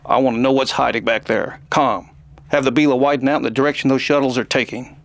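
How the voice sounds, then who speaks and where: A man's voice: mid-range voice, fairly even intonation, fast, natural voice. A man playing a stern starship captain in an audio drama, giving terse, commanding orders.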